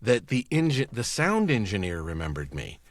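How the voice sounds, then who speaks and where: A man's voice: fairly low pitch, sing-song, moderate pace, dry recording. A man telling anecdotes in casual podcast chat as a guest voice actor.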